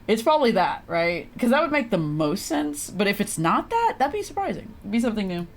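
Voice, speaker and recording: Casting: female game streamer, animated intonation, mid pitch, casual and sweary, faint noise. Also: fairly quick. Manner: informal, reactive, sweary. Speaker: live streamer. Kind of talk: casual gameplay commentary.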